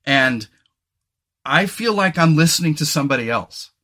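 Male podcast host, higher-pitched and bright, chatty and profane, casual banter. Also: lively intonation, normal speed. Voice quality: deep booming voice. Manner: talkative, swears casually, self-deprecating. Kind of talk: casual chat.

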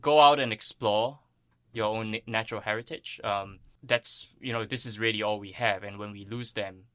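A man's voice: on the low side, fairly even intonation, moderate pace, fairly bright tone, clear, resonant tone, no echo. A man from Singapore giving earnest, thoughtful explanations as an interviewed plant ecologist.

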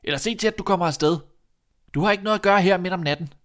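Fairy-tale youngest son, played by a male reader, guileless, plain-spoken, insistent, not very bright. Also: fairly high pitch, animated. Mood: bold, challenging. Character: dim-witted fearless youngest son, earnest and naive boy.

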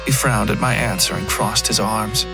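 A man's voice: on the low side, fairly even intonation, normal speed, gravelly. A man reading scripted first-person fiction as the narrator of a horror podcast. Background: guitar music.

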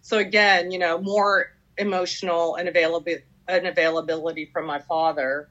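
Middle-aged woman, French first language, lowish voice, confessional testimony, dull lo-fi recording. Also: flat delivery, moderate pace, fairly bright tone. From Canada. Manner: candid, confessional, reflective. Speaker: recovery group member sharing her story. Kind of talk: personal testimony at a support meeting.

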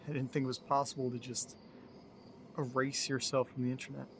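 Faint noise and insect sounds sit around an actor playing a worried young man. He talks in a halting, baffled monologue at a middling pitch, in horror audio drama style. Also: level tone, close to an everyday voice. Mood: troubled, baffled. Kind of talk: audio drama monologue, recorded-diary narration.

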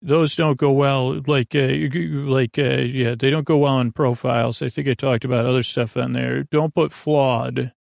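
Male host for a bedtime podcast. Creaky, fry-heavy voice, rambling and hesitant, lulling delivery, very dry recording. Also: medium pitch, fairly even intonation, moderate pace, hardly any pauses, darkish tone, no echo. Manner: meandering, hesitant, trailing off into tangents. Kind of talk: rambling sleep podcast intro, soothing monologue.

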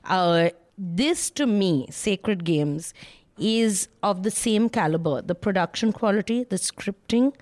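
A woman with an Indian English accent co-hosting a casual podcast, animated and quick to laugh. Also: medium pitch. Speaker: podcast co-host. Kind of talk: casual chat.

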